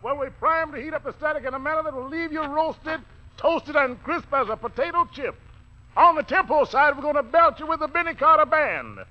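A man's voice: extremely high pitch, expressive, brisk. A man hosting a 1940s radio variety show as an exuberant, jive-talking master of ceremonies. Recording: noisy muffled old live broadcast. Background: loud theater audience.

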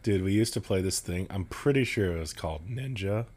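A young man co-hosting a podcast, talking loudly and rambling with frequent laughter. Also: sits low, animated, a little fast. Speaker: podcast co-host. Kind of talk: casual podcast chat.

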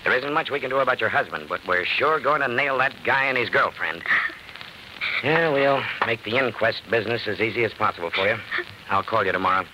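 An actor playing a weary, plain-spoken, sympathetic police detective in a matter-of-fact radio drama style. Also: male voice, lowish voice, brisk, close to an everyday voice. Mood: determined, sympathetic. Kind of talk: 1940s radio acting. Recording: faintly noisy old radio recording.

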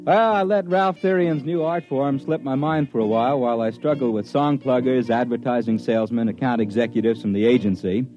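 An actor playing a sardonic, slangy disc jockey telling his story in an old-time radio drama, with drawn-out hesitations. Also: mid-range voice, steady tone, dark tone, faint music behind, lightly acted. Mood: wry storytelling. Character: wry, hip, cash-strapped radio disc jockey narrator. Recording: dull vintage radio recording.

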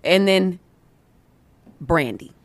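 A woman R&B singer as a podcast guest, talking in a candid, slangy way with a low voice and frequent restarts. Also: expressive, very slow, slight background noise. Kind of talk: casual interview chat. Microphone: studio mic.

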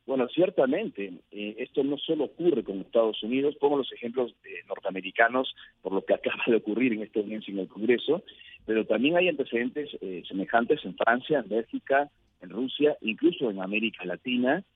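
A man from Peru, answering as a radio interview guest in measured, emphatic phrases. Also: medium pitch, sing-song, slightly rough, dry recording. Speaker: interview guest, UFO researcher. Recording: thin phone-like line.

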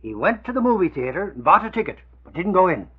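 An actor playing an excitable, stubborn, folksy working man in a 1940s-style radio drama. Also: sits high, fast talker, breathy edge, exaggerated voice. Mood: matter-of-fact. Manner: blustery, folksy, emphatic. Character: excitable, blustering, stubborn working man, admirer of a masked vigilante. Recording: muffled old radio recording.

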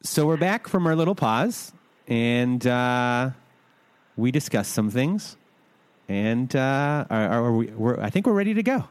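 A man's voice: mid-range voice, animated, measured pace, clean tone, dry recording. A man hosting a podcast interview, rambling loosely with lots of "uh"s and interrupting himself. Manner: rambling, filler-heavy, self-interrupting. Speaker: podcast host.

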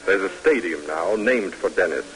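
A man narrating a 1950s radio drama from a script in a measured, formal, dramatic manner. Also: low-pitched, expressive, fairly bright tone, low-quality recording. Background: music.

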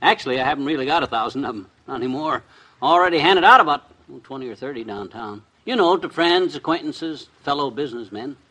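A man's voice: medium pitch, lively intonation, fast, pushed and bright, rough recording. An American man acting a boastful, scheming, middle-aged husband in a folksy, blustering 1950s radio comedy style. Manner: folksy, stammering when flustered.

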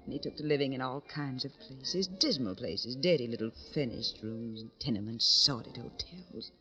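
Lonely society flirt, arch, theatrical, very animated pitch, vintage radio drama, muffled with music. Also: female voice, normal speed, exaggerated voice. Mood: impassioned, wistful. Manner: arch, dramatic, poetic phrasing. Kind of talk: 1950s radio drama acting. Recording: dull old radio recording with music.

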